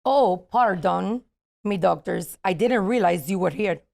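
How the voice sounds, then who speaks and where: Creaky-voiced no-nonsense nurse played by a woman. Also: mid-range voice, unhurried, darkish tone. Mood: apologetic, matter-of-fact. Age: 30s. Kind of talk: comedy script table read.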